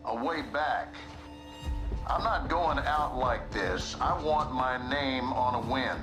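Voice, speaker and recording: A man's voice: medium pitch, slow pace, lightly acted. A man playing a scheming disgraced general. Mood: calculating. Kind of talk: video game cutscene acting. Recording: game cutscene with music bed.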